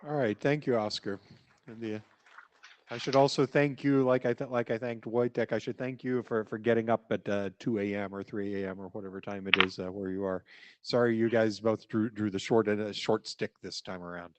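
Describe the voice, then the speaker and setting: A man chairing a meeting, thanking the speaker and asking questions, often restarting and trailing off quietly. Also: lowish voice, level tone, brisk. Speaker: session chair.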